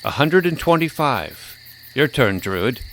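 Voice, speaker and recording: A man's voice: mid-range voice, big pitch swings, measured pace, a bit bright, a little hiss or hum. A man playing a sly, greedy trickster jester in a fantasy audio story, with a showy, teasing way of savouring his words. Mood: challenging, playful.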